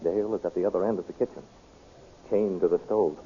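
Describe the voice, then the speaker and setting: A man's voice: deep voice, steady tone, fast, warm tone, slight background noise, close to an everyday voice. A man playing an anxious, captive captain in an old radio drama, speaking urgently. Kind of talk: 1930s radio drama acting. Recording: tinny, narrow old radio recording.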